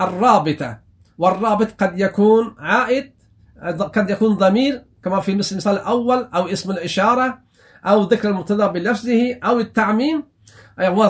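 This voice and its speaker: High-voiced man teaching grammar. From Afghanistan. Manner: explains slowly, repeats phrases and asks himself rhetorical questions. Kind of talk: grammar lesson.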